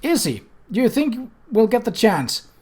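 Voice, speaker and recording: A man voicing a fussy, nervous male wizard in a storybook reading, talking high and politely flustered. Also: big pitch swings, unhurried, a little mellow, breathy edge, exaggerated voice. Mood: wry.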